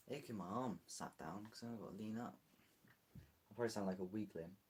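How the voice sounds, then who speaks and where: A man's voice: on the low side, normal speed, a little mellow, slight background noise. A British man streaming live, chatting casually and rambling as he answers viewer comments. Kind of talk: casual livestream chat.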